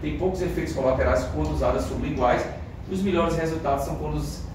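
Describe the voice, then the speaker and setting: A man's voice: fairly high pitch, quick pace. A man with a Brazilian Portuguese accent giving a conversational medical lecture, often checking in with the class. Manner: conversational, frequent fillers and tag questions. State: has a cold. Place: lecture room. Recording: very echoey room, distant mic, noise.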